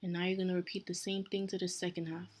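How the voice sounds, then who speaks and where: A young woman with a Nigerian accent talks through a hair tutorial in an easy, chatty way, stopping now and then to correct herself. Her voice sits fairly low and stays level, with little rise and fall. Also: fast talker. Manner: relaxed, self-correcting, chatty asides. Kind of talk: casual step-by-step tutorial.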